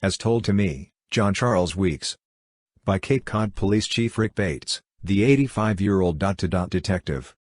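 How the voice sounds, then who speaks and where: Deep husky man playing a writer narrator. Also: unhurried, no room sound, studio quality. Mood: measured. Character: measured male writer-narrator listening to a chief. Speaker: narrator and interviewer. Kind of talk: radio drama narration.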